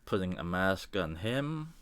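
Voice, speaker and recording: A man from Denmark with a Danish accent and Danish as his first language, thinking aloud in halting English with small grammar slips as he walks through editing a photo on a live stream. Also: sits low, big pitch swings, a bit bright, smooth. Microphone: desk microphone.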